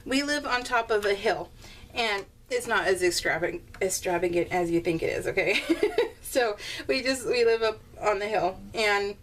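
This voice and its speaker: An American woman chatting casually in a rambling, giggly, conversational way, as the host of a homemade craft vlog. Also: on the high side. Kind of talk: casual chatty vlog voiced in real time. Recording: slightly distant mic, faint room noise.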